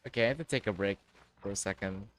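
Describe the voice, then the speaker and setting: A man streaming a game, talking loudly and offhandedly to his chat. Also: fairly low pitch, quick pace, soft background music. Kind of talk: casual livestream chat.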